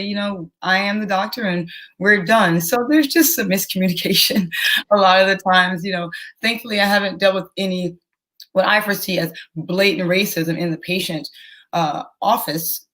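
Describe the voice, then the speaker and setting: A young woman speaks as a physician being interviewed. Her voice sits a little on the low side for a woman. She explains things in a careful, teacherly way, laying out points step by step. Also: fairly bright tone. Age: young adult. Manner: measured, educational, careful explaining.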